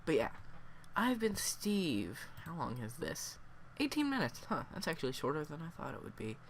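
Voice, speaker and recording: A man with a cold, sniffling and hoarse, reviewing a story in a casual, rambling way full of fillers. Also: on the high side, sing-song, moderate pace, a little hiss or hum. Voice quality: hoarse, worn voice.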